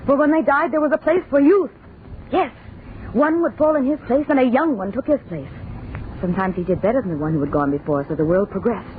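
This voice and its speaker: A woman's voice: fairly high pitch, a little fast, natural voice. Female actor as ageless young woman, bitter and solemn, theatrical vintage radio horror, narrow muffled sound with faint noise. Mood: bitter, resolute. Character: discontented four-hundred-year-old immortal young woman of the future. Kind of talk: old-time radio horror drama acting. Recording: muffled, noisy old radio recording.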